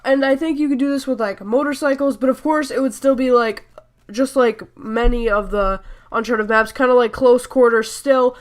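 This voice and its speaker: A woman's voice: fairly high pitch, moderate pace. Young woman with a stuffy nose. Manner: rambling, chatty, self-correcting with fillers. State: stuffy nose, sore throat. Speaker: gaming YouTuber. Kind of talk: casual voice-over commentary.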